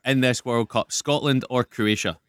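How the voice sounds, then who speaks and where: A man's voice: medium pitch, fairly even intonation, moderate pace, clear, resonant tone, no room sound, studio quality. A male radio host chatting playfully with a caller and co-hosts, often laughing. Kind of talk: casual radio chat. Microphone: studio microphone.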